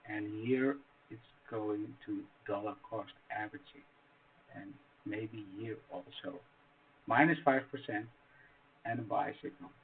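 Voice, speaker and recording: A man with a Dutch accent walks through a chart tutorial at an unhurried, slow pace. He halts often and restarts his sentences with plenty of fillers. The audio sounds narrow and tinny, like a phone line. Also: medium pitch. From Netherlands. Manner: halting, many fillers and restarts. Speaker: trading strategy explainer. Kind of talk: screen-share tutorial.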